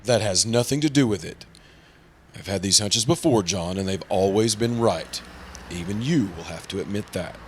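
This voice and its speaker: A man's voice: lowish voice, moderate pace, a little hiss or hum, close to an everyday voice. A man playing a prim, earnest, easily flustered insurance broker in old-time radio drama style. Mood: flustered, defensive. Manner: prim, formal, exasperated. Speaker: supporting actor in a radio play.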